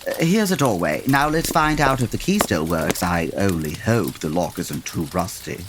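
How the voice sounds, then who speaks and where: A man playing a formal, earnest gentleman detective in an old-time radio comedy drama, with old-fashioned exclamations. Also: sits low, lively intonation, normal speed, noisy background. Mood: earnest, ominous.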